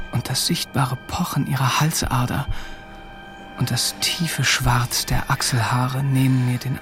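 An actor playing an earnest, anxious seventeen-year-old farm lad in a German radio drama, sober and pleading. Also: male voice, medium pitch, lightly acted. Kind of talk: German radio drama acting and first-person narration.

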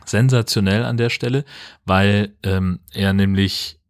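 Low-voiced man, wry podcast chat. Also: fairly even intonation, unhurried, no room sound, studio quality. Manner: wry, ironic agreement. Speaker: podcast co-host. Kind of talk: casual film review chat.

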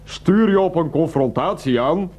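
An actor playing an evasive, petty, defensive husband in a Dutch radio play. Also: male voice, fairly high pitch, animated, measured pace, darkish tone. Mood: exasperated.

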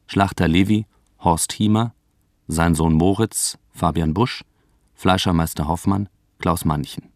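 Low gravelly guy announcing radio drama credits, very dry close sound. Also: dry recording. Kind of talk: scripted radio play credits.

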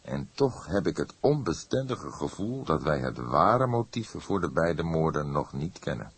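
A man voicing a grey-haired veteran detective in an audiobook, deliberate and dryly authoritative. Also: a bass voice, big pitch swings, slightly rough, no echo, full character voice. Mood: brooding. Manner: deliberate, dry, instructive.

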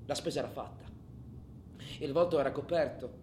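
An actor playing a menacing, taunting gang robber, in a theatrical radio drama monologue. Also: male voice, medium pitch, a little fast, lightly acted. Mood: sardonic reminiscing. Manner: theatrical, swinging from excited shouts to hushed insinuation. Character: menacing, taunting male gang robber addressing his silent accomplice. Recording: echoey stage room with background noise.

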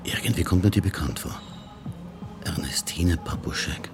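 An actor playing a weary middle-aged police inspector in a radio crime drama, with an Austrian accent and muttered sardonic asides. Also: male voice, sits low, normal speed, in a whisper, over music, close to an everyday voice. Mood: grumbling to himself.

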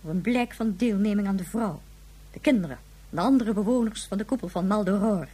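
A woman's voice: mid-range voice, fairly quick, dark tone, breathy edge, close to an everyday voice, rough recording. An actress playing a stern female head of government in a radio drama, speaking in a formal, commanding way. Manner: formal, commanding, clipped officialese.

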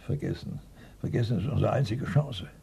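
An actor playing a weary, guilt-ridden craftsman in his sixties in a German radio play, halting and sighing, often sinking into breathy whispers. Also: lowish voice, dark tone, heavily acted. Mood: bitter, resigned. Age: 60s. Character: weary, guilt-ridden man of sixty-four, burnt-out taxidermist.

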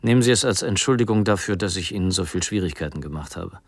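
A man's voice: low-pitched, animated, quick pace, breathy edge, no echo, exaggerated voice. An actor playing a resigned, frightened man on the run, voicing his farewell letter in a subdued, melancholy way in a radio play. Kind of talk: radio play monologue, letter read in character.

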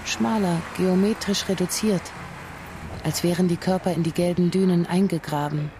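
A woman reading scripted literary narration for a radio play, measured and descriptive in her delivery. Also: lowish voice, steady tone, background noise.

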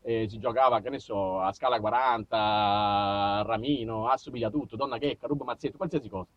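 A man with a southern Italian accent performing a comic monologue, theatrical and mock-pompous, moving between muttered asides and loud outbursts. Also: lowish voice, a little fast, highs cut off. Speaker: stage monologist. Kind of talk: comic autobiographical storytelling to a live audience.

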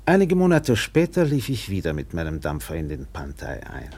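An actor playing a steamship captain who tells a colonial tale expressively in a German radio play, his voice husky and gravelly. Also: low-pitched, exaggerated voice. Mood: measured, reflective. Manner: expressive, measured literary storytelling with acted dialogue.

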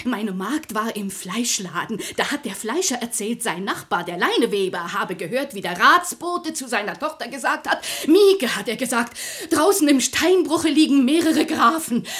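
An actress playing an excitable, gossipy small-town woman who chatters with gushing politeness in a comic radio play. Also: on the high side, normal speed, few pauses. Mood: eager gossip. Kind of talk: theatrical German radio comedy acting.

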